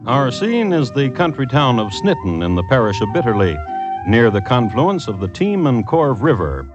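An actor playing a dry, wry connoisseur of crime, narrating deliberately as host of an old-time radio show. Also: male voice, mid-range voice, lightly acted. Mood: droll. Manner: droll, deadpan, deliberate storytelling. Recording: muffled vintage radio broadcast with music.

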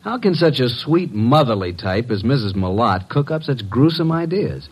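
An actor playing a breezy, wisecracking detective's assistant in vintage radio drama style. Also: male voice, medium pitch, big pitch swings, moderate pace, husky. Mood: breezy, sheepish. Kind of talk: 1940s-50s American radio detective acting.

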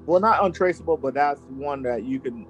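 A man's voice: mid-range voice, a little fast, faint music behind, poor audio. A man co-hosting a podcast, telling a true crime story in casual chat and laughing often. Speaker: podcast co-host.

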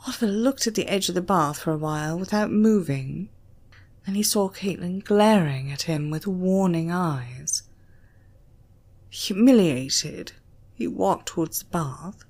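A British woman narrates fiction as a dramatic audiobook reader. Her delivery is slow and deliberate, and her pitch moves widely through the sentences. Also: expressive, a little mellow.

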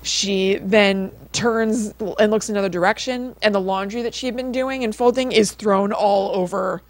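A woman's voice: medium pitch, steady tone, moderate pace, dry recording. Casual woman podcaster telling a story, close dry sound. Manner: informal, peppers speech with like and kind of. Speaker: podcast co-host.

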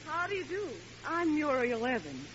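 On a dull, lightly hissy vintage radio recording, a forward young woman in a sitcom. Her voice is high and very lively in pitch, coaxing and familiar. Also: normal speed, exaggerated voice. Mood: friendly, persuasive. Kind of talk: old-time radio sitcom acting.

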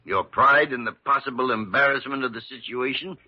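Male actor as crusty older physician: blunt, sardonic, medium-pitched, vintage radio drama on a muffled recording. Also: brisk, lightly acted. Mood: brusque, urgent. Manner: gruff, sardonic, impatient. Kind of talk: classic radio drama acting.

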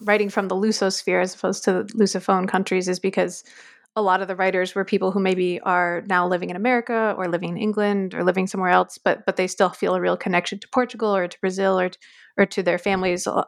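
A woman's voice: mid-range voice, little pitch movement. A woman, a magazine's managing editor, interviewing a guest in a conversational way. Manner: conversational, quick and level.

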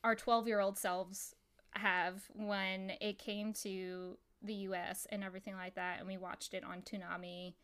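A woman's voice: medium pitch, level tone, a little slow, a bit bright, clear, resonant tone. A woman in her thirties hosting her own podcast in casual chat. She is upbeat and chatty, laughs a lot, and jokes with her guests. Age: 30s. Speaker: podcast host.

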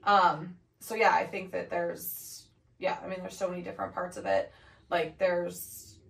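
A young woman co-hosting a podcast from her home office, hoarse from laryngitis, chatting casually with many fillers. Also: on the low side, sing-song, measured pace, a bit bright. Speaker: podcast co-host. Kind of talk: casual chat. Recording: echoey room, distant mic.

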